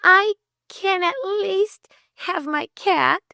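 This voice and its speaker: A woman plays a high-voiced storybook girl, flustered and indignant. She protests breathlessly and stammers, pitched well above ordinary talk, in a close, dry recording. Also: slow pace, gravelly, bright, forceful tone, no room sound, heavily acted. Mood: wistful. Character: flustered, indignant young girl, impulsive and pleading.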